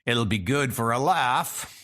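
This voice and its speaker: Man doing baffled, wisecracking ordinary guy, lively pitch. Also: unhurried. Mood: mocking. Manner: colloquial, wisecracking. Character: baffled, mocking ordinary mortal men, bystanders. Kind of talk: comic book dub acting.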